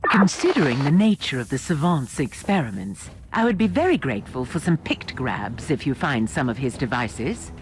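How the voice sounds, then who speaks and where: Formal devout sci-fi techie for a game, deep for a lady, expressive pitch. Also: normal speed, hardly any pauses, noisy background. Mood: reverent, concerned. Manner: formal, precise, reverent. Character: devout, scholarly female tech-adept, reverent toward machines. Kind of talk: video game dramatic acting. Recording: loud music over the voice. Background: loud game music.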